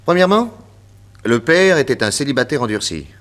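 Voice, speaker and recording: An actor playing a confident, witty detective inspector with a French accent, emphatic and theatrical in 1960s radio drama style. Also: male voice, mid-range voice, big pitch swings, a little fast, a bit bright. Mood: methodical, assertive. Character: confident, witty male detective inspector explaining his deductions.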